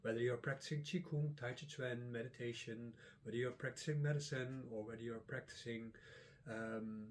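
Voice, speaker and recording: A middle-aged man whose first language is Dutch gives a casual lesson, pausing often with filler sounds. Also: mid-range voice, steady tone, slight background noise. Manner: rambling, many filler sounds and restarts. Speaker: Taoist teacher and channel host.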